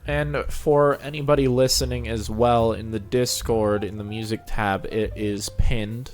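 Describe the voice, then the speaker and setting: A man's voice: medium pitch, fairly even intonation, a little slow. A man hosting an interview in a casual, conversational style. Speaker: show host, interviewer. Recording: music playing behind the voice. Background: music.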